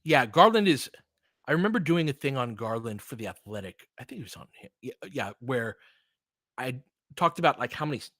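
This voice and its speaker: A man's voice: medium pitch, animated, brisk, fairly bright tone, no echo. American man casually talking basketball, dry close sound. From California, United States. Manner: analytical, thinking aloud with trailing, self-interrupting sentences. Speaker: host and play-by-play commentator. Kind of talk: casual basketball chat and live commentary, answering listeners.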